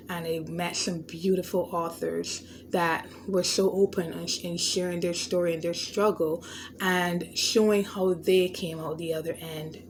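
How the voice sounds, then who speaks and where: A woman's voice: fairly low pitch, noisy background. A woman with a stutter and a lisp, hosting a podcast and talking through a personal story in an earnest, reflective way, often restarting words. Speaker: podcast host. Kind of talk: unscripted personal monologue.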